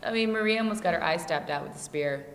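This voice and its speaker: A woman being interviewed as an actress, bantering casually with blunt, deadpan teasing. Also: fairly low pitch, quick pace. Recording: very echoey reverberant room.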